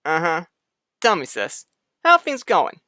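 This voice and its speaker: Woman playing an animated, folksy uncle. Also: fairly low pitch, unhurried, dry recording, studio quality, full character voice. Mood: affable. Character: affable, defensive grown man, a family uncle. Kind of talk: radio soap opera acting.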